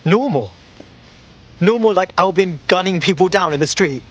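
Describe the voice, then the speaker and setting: High-voiced cheeky resistance operative. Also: male voice, animated, normal speed. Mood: earnest, urging. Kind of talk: video game cutscene acting.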